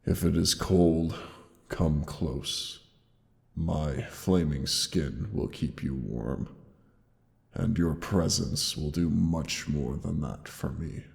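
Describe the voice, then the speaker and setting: Huge, gravelly, very deep dying dragon. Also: male voice, exaggerated voice. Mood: tender. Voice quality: deep gravelly, breathy sighs. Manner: slow, solemn, halting, tender endearments. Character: huge old dying dragon, gentle, weary and tender. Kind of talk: dramatic roleplay audio monologue. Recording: long cavernous reverb.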